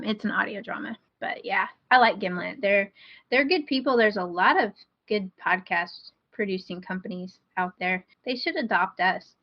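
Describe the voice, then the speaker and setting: A woman's voice: mid-range voice, dry recording, highs cut off. A woman co-hosting a podcast in casual, informal, self-deprecating chat. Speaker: podcast co-host.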